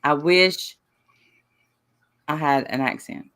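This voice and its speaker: A woman with a Southern American accent co-hosting a casual chat, animated and teasing as she answers viewers. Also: sits low, slow pace. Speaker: co-host of a chat stream.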